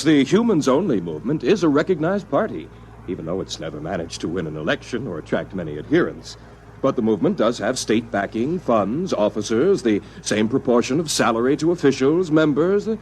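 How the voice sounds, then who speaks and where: An actor playing a condescending, officious bureaucratic supervisor in 1950s radio drama style. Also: male voice, mid-range voice, big pitch swings, brisk, darkish tone, lightly acted. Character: smooth, patronizing male bureaucratic supervisor. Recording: noisy old 1950s radio recording.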